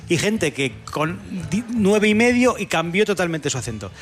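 A man's voice: high voice, big pitch swings, normal speed. A man co-hosting a casual radio interview, adding joking asides and anecdotes. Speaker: radio co-host.

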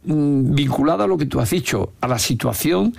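Older Andalusian man with a creaky voice. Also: on the high side, lively intonation, darkish tone. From Andalusia, Spain. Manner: opinionated, anecdotal, halting with repeated words. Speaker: interview guest, veteran Andalusian politician. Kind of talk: unscripted reflective interview talk.

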